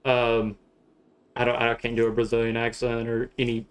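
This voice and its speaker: A man's voice: medium pitch, monotone, moderate pace, smooth. Young Southern US guy, streamer, rambling casual explainer, easy laugh, mid-range voice. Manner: laughs a lot, rambling and loose, swears casually. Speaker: streamer flying a shared-cockpit sim flight. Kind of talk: casual live-stream chat.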